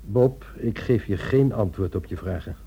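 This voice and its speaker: An actor playing a guarded, courteous husband in a radio play, speaking in a measured, quietly firm and wry way. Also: male voice, fairly low pitch, creaky, breathy edge, muffled recording, exaggerated voice.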